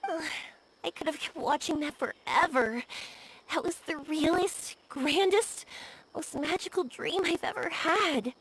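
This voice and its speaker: A woman playing a bubbly, warm-hearted young woman in an anime-style video game, gushing and earnest in her delivery. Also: sits high, a little slow, rough recording. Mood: awed, happy.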